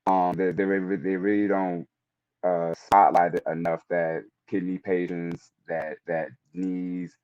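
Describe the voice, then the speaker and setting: A man giving an informal introduction as a panelist, halting and repeating words as he explains, on lo-fi audio. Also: sits low, little pitch movement, a little mellow, clean tone, no room sound. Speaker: panelist, product manager.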